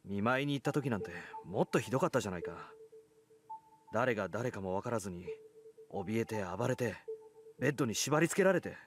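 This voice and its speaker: Earnest young male best friend played by an actor, visual novel drama, fast talker with expressive pitch. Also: slight background noise, close to an everyday voice. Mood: reproachful, somber. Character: earnest, concerned young man defending his troubled best friend. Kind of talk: Japanese visual novel voice acting.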